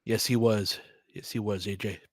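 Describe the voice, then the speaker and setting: A man's voice: fairly low pitch, steady tone, normal speed, dry recording, studio quality. A man giving casual live basketball commentary as a host, chatting informally with listeners and reacting like a fan.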